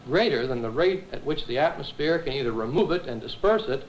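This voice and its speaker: Measured male scientist, faintly noisy recording. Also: a little high, lively intonation. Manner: measured, academic, halting self-corrections. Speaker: atmospheric scientist, professor. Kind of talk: explanatory interview talk. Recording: 1970s film interview, faint noise.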